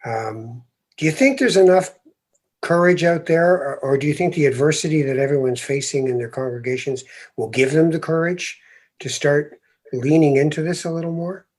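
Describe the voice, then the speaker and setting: A man hosting a podcast interview, speaking reflectively and growing animated as he makes his points, with easy laughs. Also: on the high side, normal speed. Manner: thoughtful, animated when making a point, laughs easily. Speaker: podcast host.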